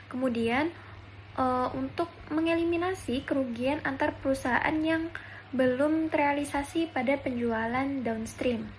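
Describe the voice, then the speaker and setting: A woman's voice: high voice, poor audio. A young woman presents prepared material like a student in class. Her delivery halts often as she feels for the next phrase. There is some background noise in the recording. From Indonesia. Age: young adult. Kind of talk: scripted accounting presentation. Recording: background noise and room ambience.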